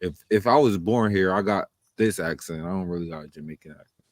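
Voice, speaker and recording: A man's voice: deep voice, fairly quick, dry recording, studio quality. A man talking casually and bluntly on a podcast, profane and repeating his points for emphasis. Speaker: podcast host. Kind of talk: casual chat.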